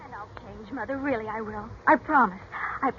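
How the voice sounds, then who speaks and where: An actress playing a spoiled, scheming grown daughter in a melodramatic 1940s radio drama. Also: female voice, a little high, a little fast, slight background noise, close to an everyday voice. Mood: pleading. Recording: dull old 1940s radio recording.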